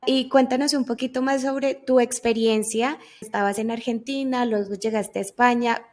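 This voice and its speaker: A woman's voice: on the high side, steady tone, moderate pace, a little mellow. A woman hosting an interview, asking friendly, encouraging follow-up questions in a casual tone. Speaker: interview host.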